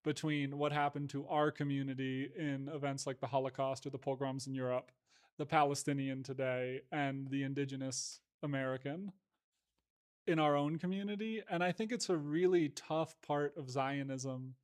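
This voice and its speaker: A man in a casual conversation, reflective and measured, hedging often with "like". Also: mid-range voice, fairly even intonation, clean tone.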